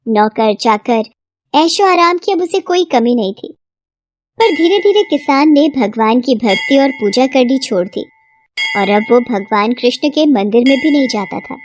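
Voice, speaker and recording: High-voiced woman narrating children's stories. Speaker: story narrator. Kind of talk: scripted moral storytelling for children.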